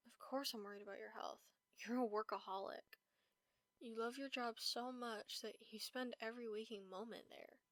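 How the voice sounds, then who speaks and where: A woman playing a caring vampire roommate in a comfort audio roleplay, speaking softly, gently and reassuringly. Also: medium pitch, creaky, no room sound. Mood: worried, firm. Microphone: close, dry microphone.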